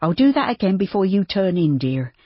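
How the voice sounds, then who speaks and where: A reader doing a brisk, motherly farm wife. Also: mid-range voice, big pitch swings, no echo, lo-fi sound, pitched above the performer's own voice. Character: bustling kindly farmer's wife, motherly and brisk.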